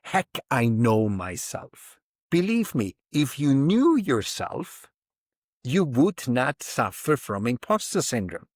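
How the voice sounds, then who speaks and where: A man's voice: medium pitch, sing-song, slow, no room sound, studio quality. A man in his fifties, a podcast guest speaking reflectively and emphatically, often voicing inner thoughts as quotes. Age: 50s. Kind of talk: reflective interview talk.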